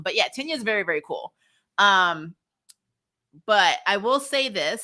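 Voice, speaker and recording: A woman's voice: lowish voice, sharp tone. A woman hosting a recap show, reviewing in a casual, chatty, slangy way and animatedly retelling things. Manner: chatty, slangy, punctuated with laughter.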